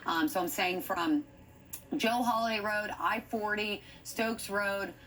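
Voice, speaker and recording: A woman's voice: medium pitch, fairly even intonation, slow pace, fairly bright tone. American tv weather lady, live storm coverage, urgent, working it out as she talks, bit of background noise. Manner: urgent, thinking aloud while reading radar. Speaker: TV weather forecaster. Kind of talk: live severe weather commentary.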